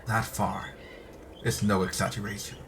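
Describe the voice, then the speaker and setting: A man's voice: on the low side, flat delivery, normal speed. A middle-aged man reading a story aloud in a narrator's style, occasionally stumbling over words. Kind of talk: dramatic story read-aloud. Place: indoor room at a streaming desk. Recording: clear background ambience noise.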